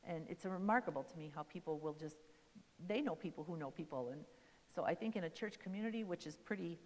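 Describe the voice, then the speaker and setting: A woman's voice: lowish voice, fast, darkish tone. A friendly, chatty woman social worker speaks at a community seminar. Her talk is loose and rambling, full of little fillers. Her voice carries the long echo of a church meeting hall. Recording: echoey hall.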